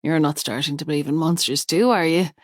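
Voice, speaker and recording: A woman voicing a relaxed husband and father who talks in an easygoing, quietly amused way. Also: sits low, a little fast, no room sound, clean studio sound.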